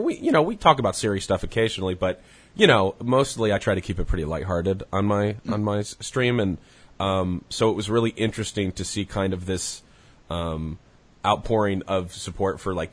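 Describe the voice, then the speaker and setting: A young man hosting a podcast, talking in a casual, earnest way with many hesitations, sounding congested. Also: deep voice. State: congested, under the weather. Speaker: podcast host.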